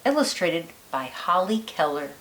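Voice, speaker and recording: A woman reading a children's nonfiction picture book aloud like a teacher, with deliberate, lilting emphasis. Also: fairly low pitch, sing-song, a bit bright, poor audio.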